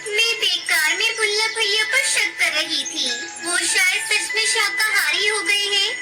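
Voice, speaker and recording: Lady doing super high little mama bird for a cartoon, plaintive and naive, loud music behind. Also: unhurried. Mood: trusting. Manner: plaintive, fretful. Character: anxious, trusting mother bird, small and naive. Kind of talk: Hindi cartoon moral story acting.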